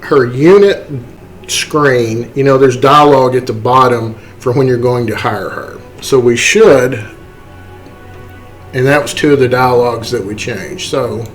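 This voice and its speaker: Slow-talking man giving a casual tutorial. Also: mid-range voice, faint music behind. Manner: self-deprecating, apologetic, folksy. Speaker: tutorial host. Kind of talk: casual, unscripted screen-capture tutorial. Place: indoors, voice-over to screen capture.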